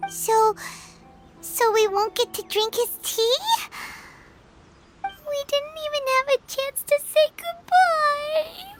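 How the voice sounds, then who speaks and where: A tiny floating fairy girl companion, game cutscene, very high squeaky voice, slow drawn-out delivery, eager and questioning, faint music underneath. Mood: curious. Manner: eager, questioning, easily disappointed. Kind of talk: video game cutscene dialogue.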